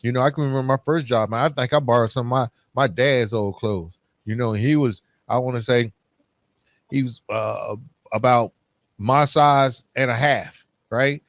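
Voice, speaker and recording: A man's voice: medium pitch, normal speed, no echo. A man with a mid-range voice hosts a casual podcast conversation. He talks informally and candidly, with colloquial phrasing. The sound is dry and close to the microphone. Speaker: podcast host. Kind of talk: casual interview and personal reflection.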